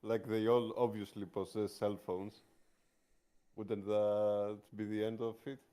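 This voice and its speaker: A man's voice: lowish voice, little pitch movement, measured pace, mellow. A man playing a tabletop roleplaying game, suggesting ideas in casual conversation.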